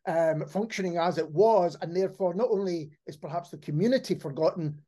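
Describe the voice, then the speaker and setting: Male interviewer for a conversational podcast, notably high in pitch. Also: fairly quick, dark tone. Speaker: podcast host.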